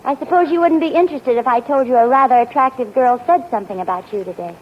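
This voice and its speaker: A woman's voice: high voice, fast, a little hiss or hum. A woman acting an inquisitive, persistent wife in a 1940s radio sitcom. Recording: muffled old radio broadcast with audience.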